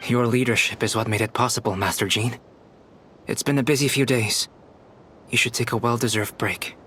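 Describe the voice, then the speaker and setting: A man's voice: medium pitch, fairly even intonation, normal speed, sharp tone, slight background noise, close to an everyday voice. A man voicing a composed, analytical young scholar in a video game, speaking formally and with detachment. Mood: calm.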